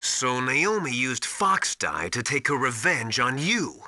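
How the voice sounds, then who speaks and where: Video game military advisor: male, authoritative teacher type, piercingly bright, dry close sound, urgent and emphatic. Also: mid-range voice, sing-song, takes it slowly, no room sound, heavily acted. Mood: grave, cautioning. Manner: didactic, urgent, emphatic instruction. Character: knowledgeable, commanding male military survival mentor called Master. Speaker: radio support advisor.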